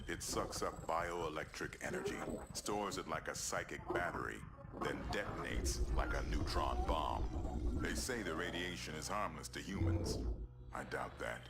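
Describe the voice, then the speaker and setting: An actor playing a battle-weary American military commander, giving solemn, measured, clipped narration for a video game cinematic. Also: male voice, low voice. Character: grave, battle-weary American general briefing on alien war. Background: loud dramatic music.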